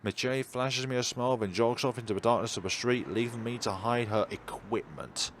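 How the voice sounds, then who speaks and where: A man's voice: medium pitch, a little fast, slight background noise. A man reading a horror visual novel aloud in a dramatic narrating style, as a let's player.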